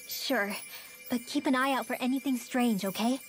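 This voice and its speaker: A woman's voice: on the high side, moderate pace, natural voice. An actress playing a wary, spirited young female adventurer in a video game, casual and questioning. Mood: suspicious. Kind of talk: video game cutscene acting. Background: music.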